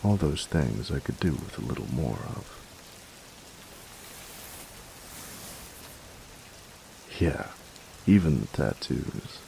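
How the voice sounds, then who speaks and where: Guy playing a gravelly super low bully boyfriend, slangy n teasing but soft on his guy, audio roleplay. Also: big pitch swings, noisy background, heavily acted. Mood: content, teasing. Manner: slangy, teasing, halting when sincere. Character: gruff, teasing young bully turned affectionate boyfriend. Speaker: voice actor.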